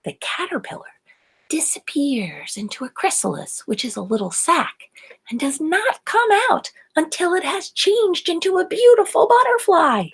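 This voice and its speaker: A woman reading a children's story aloud in a deliberate, expressive and emphatic way. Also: extremely high pitch. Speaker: storybook reader.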